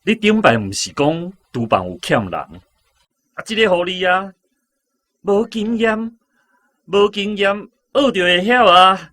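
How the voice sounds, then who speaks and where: An actor with a Taiwanese accent playing an exasperated army personnel officer who haggles and complains loudly in a radio drama. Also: male voice, high voice, expressive, measured pace, no echo. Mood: pleading.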